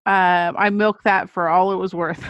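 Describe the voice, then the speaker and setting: A woman's voice: on the low side, little pitch movement, unhurried, fairly bright tone, no echo, highs cut off. A middle-aged woman, an author appearing as a guest, telling anecdotes in a casual interview style. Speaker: children's book author, podcast guest.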